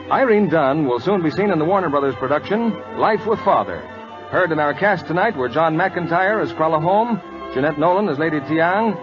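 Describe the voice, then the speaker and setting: An American man working as a radio announcer, reading scripted 1940s announcements. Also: a little high. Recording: dull vintage radio recording.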